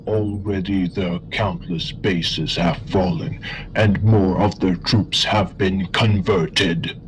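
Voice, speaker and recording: An emotionless cyborg war general in an audio drama. The low robotic voice makes boastful military reports slowly and stiffly, over a faint rumble. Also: male voice, fairly even intonation, poor audio. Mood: stiff, confident. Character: obedient, boastful Cyberman war general, emotionless machine soldier. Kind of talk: sci-fi audio drama acting.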